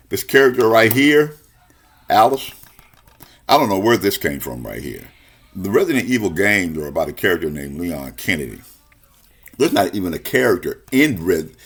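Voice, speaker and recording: A man with a very low, rough-edged voice presents a review show in a casual, conversational style. His pitch moves around a lot as he talks, and he puts emphatic weight on key words, often repeating a point. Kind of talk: casual review commentary to camera.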